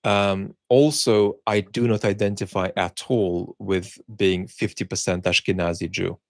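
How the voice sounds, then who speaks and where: A middle-aged man with a deep, gravelly voice talks through viewer questions in a measured, reflective way. He grew up in the Soviet Union with Russian as his first language, and he pauses often to search for words. The recording is dry and close, with no room echo. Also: steady tone. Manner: measured, thinking aloud with many ums and pauses. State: unwell, speaking while cared for. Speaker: host answering viewer questions. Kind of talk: reflective solo chat.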